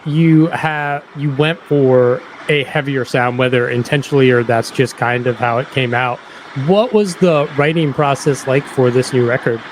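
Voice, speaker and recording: A man hosting a podcast interview, relaxed, agreeing often and laughing along. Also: medium pitch, normal speed, a little hiss or hum, poor audio. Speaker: podcast host. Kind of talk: casual interview.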